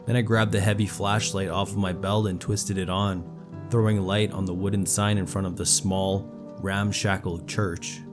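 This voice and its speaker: A man's voice: low-pitched, flat delivery, moderate pace, music in the background. A man reading a scripted first-person horror story as its narrator.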